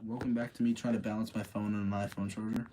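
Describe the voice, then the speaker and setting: A man's voice: lowish voice, flat delivery, quick pace, background noise. A man chatting casually as a streamer to a live audience, opinionated and debating with himself, often repeating phrases for emphasis. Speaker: streamer hosting a live movie-rating chat.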